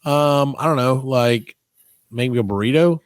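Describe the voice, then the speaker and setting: A man's voice: mid-range voice, measured pace, clear, resonant tone, no echo, studio quality. A man hosting a comedy podcast in casual, playfully argumentative banter. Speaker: podcast host.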